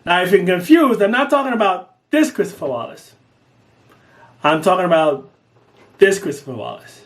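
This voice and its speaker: A middle-aged man from Guyana, telling his own story in an earnest audition video. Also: sits high. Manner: earnest, lively, swings between quick bursts and slow emphasis. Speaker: artist making an audition video. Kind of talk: personal storytelling to camera.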